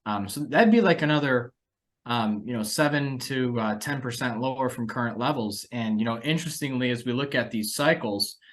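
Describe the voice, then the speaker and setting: A man's voice: mid-range voice, normal speed. A man, hosting a conversational discussion of financial markets as an analyst.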